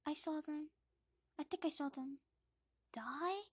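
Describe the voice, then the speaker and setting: A woman's voice: sits high, slow, no echo, clean studio sound, voice pushed up. A woman reading aloud and voicing a timid, frightened young boy who speaks in small, hesitant, apologetic bits.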